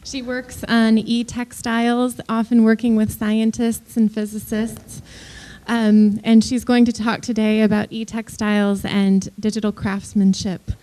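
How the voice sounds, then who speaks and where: A woman's voice: on the high side, level tone, a little slow, dark tone. A woman introducing a guest speaker at an event, brief and friendly.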